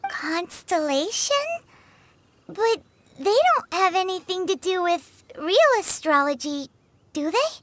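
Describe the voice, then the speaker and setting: A woman's voice: extremely high pitch, animated, slow pace, fairly bright tone, exaggerated voice. A woman voicing a tiny, childlike fairy companion in a video game, bubbly and plain-spoken. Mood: doubtful. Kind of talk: video game character acting.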